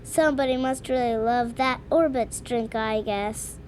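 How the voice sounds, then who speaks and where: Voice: mid-range voice, fairly even intonation, a little slow, a little hiss or hum, close to an everyday voice. An actor playing a cocky, sarcastic, precocious six-year-old boy in an audio story. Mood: curious. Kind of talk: scripted audio fiction acting.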